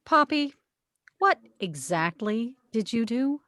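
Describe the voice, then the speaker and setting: A woman's voice: fairly high pitch, sing-song, slow pace, fairly bright tone, heavily acted. A woman playing an upset, worried mother who scolds sternly and reproachfully in a script table read. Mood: suspicious.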